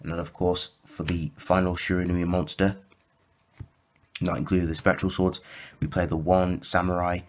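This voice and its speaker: Low-pitched male host, a bit croaky, casual deck walkthrough, filler-heavy and self-correcting. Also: a bit bright. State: slightly croaky from sore throat. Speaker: YouTube deck profile host.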